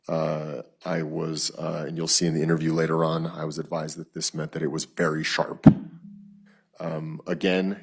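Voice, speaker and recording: An American man testifies in court as a detective. His voice is very deep, husky and full of fry. He speaks formally and haltingly, laying out details in a matter-of-fact way. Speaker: police detective testifying as a witness.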